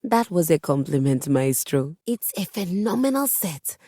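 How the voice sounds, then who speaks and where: A woman's voice: fairly low pitch, very animated, a little slow, warm tone, no room sound, studio quality. A woman voicing a vain, refined piano diva in a video game, speaking in a grandiose, pompous way with precise wording. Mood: grand, self-assured. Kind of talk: theatrical video game character acting.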